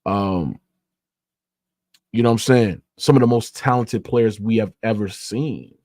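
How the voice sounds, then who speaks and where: A man's voice: fairly low pitch, a little slow, darkish tone, no echo. Guy hosting a sports debate, pushy and slangy, keeps hammering the same point, very dry audio. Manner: insistent, argumentative, repeats points, swears freely. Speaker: sports debate show host. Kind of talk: animated sports debate, slangy casual talk.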